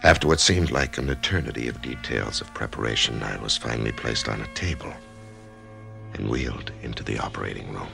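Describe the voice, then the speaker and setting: An actor playing Norman, an anxious man facing surgery, who narrates his own story in a halting, frightened way. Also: very deep voice, creaky, heavily acted. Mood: reflective. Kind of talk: 1990s radio drama acting. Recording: remastered radio drama with music.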